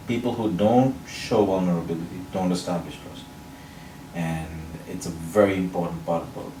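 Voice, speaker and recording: Deep-voiced man, measured, in an echoey room. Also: a little mellow. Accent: neutral international English, faint Sri Lankan. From Sri Lanka. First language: Sinhala or another Sri Lankan language. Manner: measured, explanatory, builds points in lists. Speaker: public speaking champion, interview guest. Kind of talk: interview answers. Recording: roomy echo, mic at a distance, faint noise.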